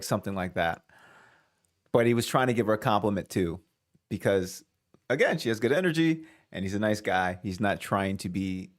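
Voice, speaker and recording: A man hosting a reaction video, casually thinking aloud, hedging and restarting his sentences. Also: on the low side. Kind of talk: casual commentary.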